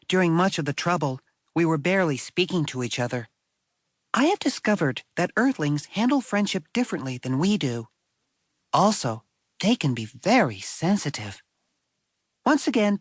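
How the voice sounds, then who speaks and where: Guy doing bewildered alien school kid for an audiobook, very proper and careful, super animated, dry recording. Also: high-pitched, no echo. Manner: formal, careful, expressive phrasing. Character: earnest, bewildered young male alien schoolboy. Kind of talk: audiobook acting, reading a letter aloud.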